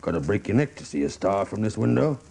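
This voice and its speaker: An actor playing an exhausted, rambling old salesman in a radio drama, muttering and halting in a gravelly voice. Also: lowish voice, animated, warm tone, heavily acted. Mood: weary. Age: older. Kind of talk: dramatic radio play acting.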